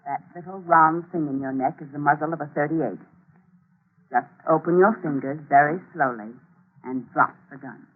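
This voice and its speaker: An actress playing a steely but shaken heroine in a 1940s radio thriller, with a breathy, husky voice. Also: female voice, low voice, moderate pace, a little hiss or hum, natural voice. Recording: tinny 1940s radio recording.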